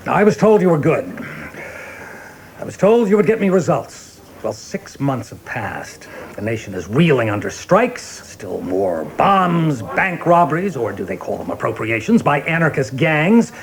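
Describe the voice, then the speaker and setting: An actor playing a vain, gruff senior politician in a radio play, pompous, demanding and emphatic in his delivery. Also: mid-range voice. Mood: demanding, indignant.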